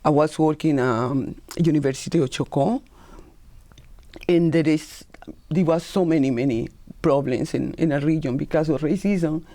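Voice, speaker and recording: A woman's voice: low-pitched, moderate pace, dark tone. A woman from Colombia with a Colombian Spanish accent, a Spanish speaker, telling her story as a talk show guest and often repeating words as she searches for phrasing.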